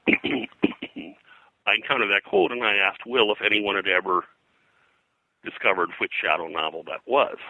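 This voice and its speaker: An older man speaking as an interview guest, reminiscing in a halting, digressive way and often clearing his throat. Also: fairly low pitch, sharp tone, no room sound, rough recording. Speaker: guest, pulp and comics historian. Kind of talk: interview conversation, reminiscing about his work.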